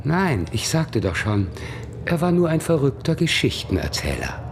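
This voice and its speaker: A man's voice: medium pitch, huge pitch swings, normal speed, background noise. An actor playing a coy, evasive male witness under police questioning in a German radio crime drama, by turns dreamy and defensive.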